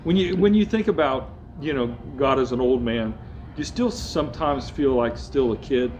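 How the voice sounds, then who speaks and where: A man of middle pitch interviewing an older guest, speaking earnestly and often repeating his words as he finds them. A faint hiss runs under the recording. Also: moderate pace, a little mellow. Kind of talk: interview conversation.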